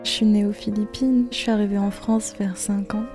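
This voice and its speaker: A woman's voice: mid-range voice, level tone, normal speed, music in the background. A woman in her twenties telling her own story in a candid interview, hesitant, with many fillers and restarts. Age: 20s. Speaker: interviewee giving a personal testimony. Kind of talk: candid personal account.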